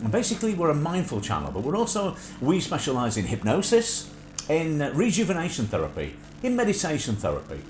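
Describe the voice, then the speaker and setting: A man's voice: fairly high pitch, wildly swooping pitch, a little fast, a little mellow, roomy sound, a little hiss or hum. A middle-aged man hosting a casual livestream chat, slightly nasal from sinusitis, rambling with many filler hesitations. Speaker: host.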